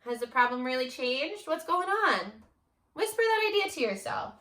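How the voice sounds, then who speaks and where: Expressive woman teacher reading to children. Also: high voice, moderate pace, roomy sound. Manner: prompting with questions, encouraging. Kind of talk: interactive picture-book read-aloud for children.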